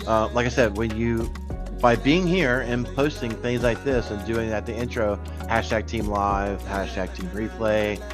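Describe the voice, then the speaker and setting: A man's voice: fairly low pitch, fairly even intonation, measured pace, over music. A man guest-hosting a live cyber news stream, chatting casually with many fillers and restarts. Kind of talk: casual livestream chat.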